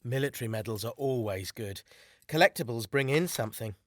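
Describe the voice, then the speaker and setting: A man's voice: medium pitch, lively intonation, a little fast, dry recording, clean studio sound, close to an everyday voice. A man with a British accent playing a pragmatic, cynical council worker in an audio drama, talking in a casual, blunt, colloquial way. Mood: brisk, businesslike. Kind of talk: naturalistic audio drama acting.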